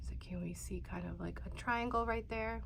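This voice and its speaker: Stuffy-nosed woman from texas doing a craft tutorial, kinda gravelly, lowish voice, faint hiss behind. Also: normal speed. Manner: chatty, rambling, drops to near-whisper while working. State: congested, stuffy-sounding.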